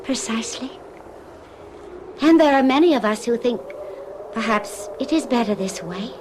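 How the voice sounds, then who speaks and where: An actress plays an alluring young woman in an old-time radio drama. Her voice sits high, with a coquettish, formal politeness that keeps secrets. There is some ambient noise behind her. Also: lively intonation, normal speed, lightly acted. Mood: solemn, charming. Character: charming young French innkeeper's daughter, alluring and secretive.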